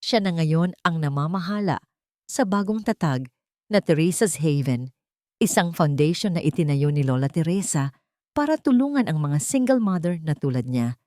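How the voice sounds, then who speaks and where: A woman narrating a scripted audio drama in an expressive, emotive storytelling manner. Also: low-pitched, normal speed, dry recording, clean studio sound.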